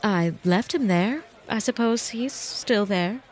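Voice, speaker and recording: An actress playing a young married suspect in a noir audio drama, polite, hesitant and defensive. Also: female voice, mid-range voice, slow pace, darkish tone, close to an everyday voice. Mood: evasive. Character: guarded young married woman under suspicion, evasively polite. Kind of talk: noir radio drama acting.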